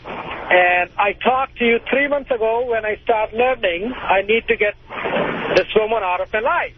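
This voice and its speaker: A man with a strikingly high voice phones a radio show and talks casually about his own life. The line is tinny and thin, with clear noise on it. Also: moderate pace. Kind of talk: casual personal storytelling.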